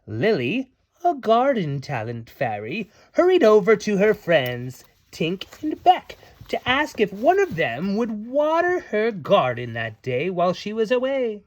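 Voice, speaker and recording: A man's voice: high voice, huge pitch swings, unhurried. A man reading a storybook aloud to children as a parent, playful and theatrical, with little asides. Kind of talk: animated storybook read-aloud.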